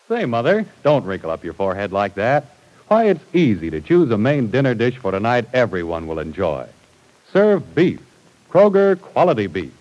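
A man's voice: medium pitch, a little mellow, poor audio. A man working as an old-time radio announcer, with a polished, salesmanlike delivery.

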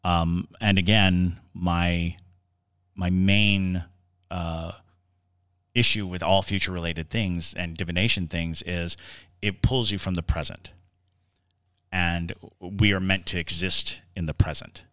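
A man co-hosting a podcast, explaining things conversationally in a halting, reflective way. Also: low voice, steady tone, a bit bright, clear, resonant tone. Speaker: podcast host. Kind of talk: conversational podcast discussion of the paranormal.

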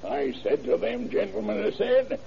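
An actor playing a cheerful, cocky old career forger with a creaky voice, boastful and mock-dignified, in classic radio crime drama style. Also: male voice, high voice, sing-song, moderate pace, crowd noise, exaggerated voice. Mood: boastful, amused. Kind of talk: 1940s-50s radio crime drama acting. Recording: muffled old radio recording, crackly background din.

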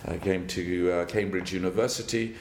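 Older south african guy, lowish voice, thinks out loud with little laughs, roomy sound w faint hum. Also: steady tone. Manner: thoughtful, halting, with chuckles. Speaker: guest, banker. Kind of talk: interview storytelling.

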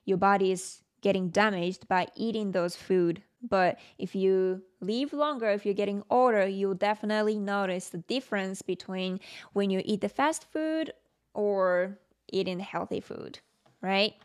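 A woman's voice: mid-range voice, a little slow, smooth. A woman from Japan with a Japanese accent, a native Japanese speaker, hosting a casual solo podcast in a conversational, explanatory way. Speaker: podcast host.

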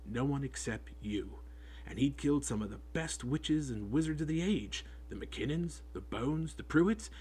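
A man reading an audiobook, voicing a big rough-spoken groundskeeper who talks in homely, clipped speech. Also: medium pitch. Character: big rough-spoken male groundskeeper, gruff but kind. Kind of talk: audiobook character voice.

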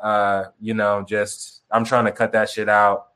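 A young man guesting on a call-in interview, talking casually, rambling and halting, with many restarts and fillers and some swearing. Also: lowish voice, completely flat delivery, a bit bright, clear, resonant tone. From Chicago, grew up in Tucson. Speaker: musician guest. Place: remote video call.

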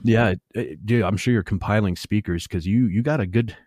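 A man in his late thirties with a lowish voice chats with a guest. He stumbles and repeats words as he goes, friendly and easygoing. The sound is dry and close. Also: a little fast, a little mellow, no room sound. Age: 30s. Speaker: youtube channel host, interviewer. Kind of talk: casual interview chat.

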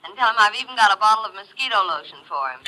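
A woman's voice: medium pitch, lively intonation, racing, pushed and bright. An actress playing an efficient female secretary in a 1950s radio drama. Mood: chipper. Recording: faintly noisy vintage radio recording.

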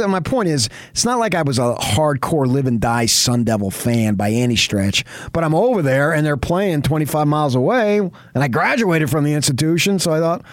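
A man's voice: a little high, dry recording, studio quality. A middle-aged man with a New Jersey accent, talking sports off the cuff in a rambling, emphatic, conversational way as a radio host. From New Jersey, later Arizona. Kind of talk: unscripted sports talk.

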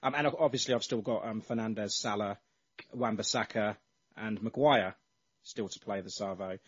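A man with a slightly husky voice chats along casually, rambling and hedging as he works through his thoughts. The recording is muffled and lo-fi. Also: on the low side, normal speed. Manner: chatty, rambling, hesitant. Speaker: podcast host. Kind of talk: casual chat. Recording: dull lo-fi.

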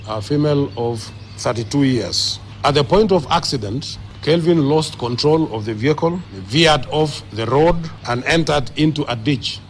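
A man with a Kenyan accent giving a formal accident report in measured, halting official phrasing. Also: mid-range voice. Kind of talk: formal spoken statement. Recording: noisy on-location news clip.

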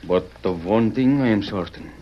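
An actor playing a grave, decisive middle-aged Mexican father in an old radio western, with a Mexican accent and measured, formal phrasing. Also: lowish voice, lightly acted. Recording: muffled, noisy vintage radio recording.

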